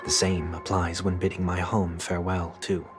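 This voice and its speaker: An actor playing a composed, formal young man who speaks in measured, deliberate sentences, with music playing behind him. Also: sits low, little pitch movement, lightly acted. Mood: calm. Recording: game soundtrack music behind.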